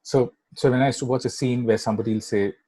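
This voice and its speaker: Man, middle-aged, Indian English accent, husky voice, dry close sound, rambling guest talk. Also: medium pitch, fairly even intonation, fast, mellow, no echo. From Kolkata, India. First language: Bangla. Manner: rambling, many fillers and "right?" tags. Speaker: guest filmmaker. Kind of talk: casual interview chat.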